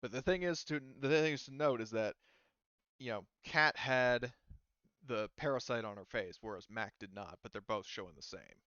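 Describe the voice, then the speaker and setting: Rambling man running a tabletop game, smooth voice. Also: mid-range voice, normal speed, sharp tone, dry recording, muffled recording. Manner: rambling, hesitant. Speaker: game master. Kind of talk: improvised tabletop game narration, casual.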